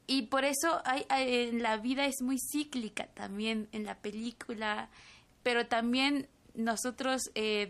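A young woman from Chiapas whose first language is Tzotzil, answering an interviewer in a fairly high voice. She speaks thoughtfully and pauses on fillers, often ending with a questioning tag. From Chiapas, Mexico. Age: 20s. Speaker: filmmaker guest.

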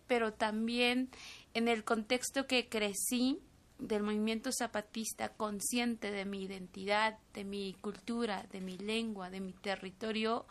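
A young woman from Chiapas whose first language is Tzotzil, answering an interviewer in a fairly high voice. She speaks thoughtfully and pauses on fillers, often ending with a questioning tag. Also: fairly bright tone. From Chiapas, Mexico. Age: 20s. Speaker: filmmaker guest.

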